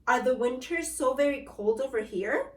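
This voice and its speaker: A woman's voice: high-pitched, expressive, normal speed, echoey room, recorded from a distance. A woman reading as a worried father monkey.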